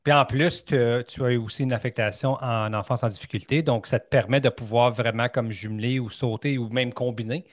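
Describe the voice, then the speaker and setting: Un homme animateur de balado, ontarien, qui bavarde avec entrain, plein d'hésitations et de reprises. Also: male voice, mid-range voice, steady tone, fairly quick, few pauses, no echo, studio quality. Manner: chatty, upbeat, many fillers and restarts. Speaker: podcast host. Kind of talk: casual interview chat.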